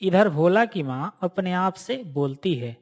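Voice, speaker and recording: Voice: mid-range voice, expressive, a little slow. A narrator reading a scripted moral story for a cartoon in a storytelling style.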